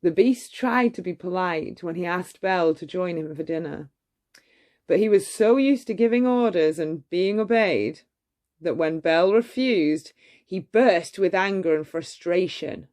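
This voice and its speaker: A woman reading a bedtime story aloud in an expressive, measured way. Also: fairly low pitch.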